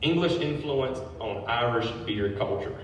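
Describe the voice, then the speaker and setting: A man's voice: medium pitch, lively intonation, measured pace. A man, a brewery owner, giving a public history talk, reading from notes with casual asides. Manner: informal asides between read passages. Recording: very echoey hall, distant mic, faint noise.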